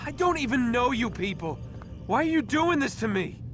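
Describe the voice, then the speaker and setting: A man's voice: very high-pitched, sing-song, moderate pace, music in the background. An actor playing a defiant, bewildered young male captive in a video game, casual and indignant in manner. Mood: outraged.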